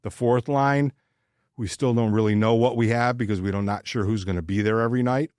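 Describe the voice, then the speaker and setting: A man's voice: medium pitch, steady tone, fairly quick, a little mellow, smooth, no room sound, clean studio sound. A man co-hosting a sports podcast, chatting casually and giving opinions in informal, sometimes profane language.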